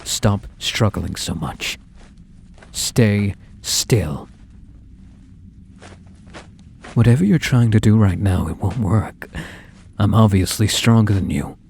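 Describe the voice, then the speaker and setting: An actor playing an obsessive, possessive young male stalker in an intimate ASMR roleplay, coaxing softly with falsely tender menace. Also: lowish voice, vocal fry, a little hiss or hum. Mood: stern, threatening. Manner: soft, coaxing, menacing, slow and deliberate.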